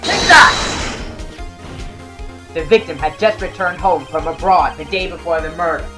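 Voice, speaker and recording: An excitable young defense lawyer, acted for a game fandub, argues with earnest, dramatic emphasis. The voice is light and youthful for a man, with music behind it. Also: mid-range voice, unhurried, lightly acted. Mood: triumphant, emphatic. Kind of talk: video game fandub dialogue and narration.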